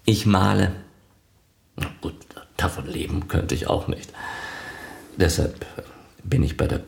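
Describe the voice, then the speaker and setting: An actor playing an eager, awkward arts foundation official in a radio play, hesitant and earnest. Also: male voice, sits low, a little slow, vocal fry, darkish tone, a little hiss or hum, heavily acted. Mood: polite, awkward. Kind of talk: German radio play acting.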